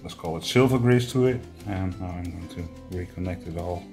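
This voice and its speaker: A man in his forties explaining hands-on work casually to camera, halting as he thinks aloud. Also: low voice, creaky, mellow. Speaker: host of a boat DIY channel. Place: indoors, home living room and boat cabin. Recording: echoey room, music behind.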